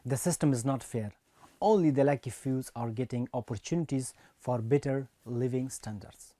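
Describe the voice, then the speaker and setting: A man's voice: medium pitch, mellow, no room sound. Earnest young man from Afghanistan. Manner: earnest, measured delivery. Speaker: speech reader. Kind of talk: prepared speech.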